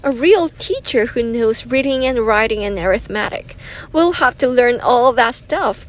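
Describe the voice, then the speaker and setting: Woman playing an excitable, high-pitched imaginative schoolkid. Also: unhurried. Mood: urgent, pleading. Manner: emphatic, exclamatory.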